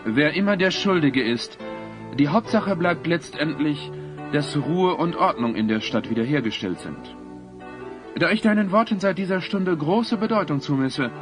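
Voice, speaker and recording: A man's voice: on the high side, natural voice. A man playing a self-important man of authority in a radio play, formal, stately and declamatory. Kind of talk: German radio play acting.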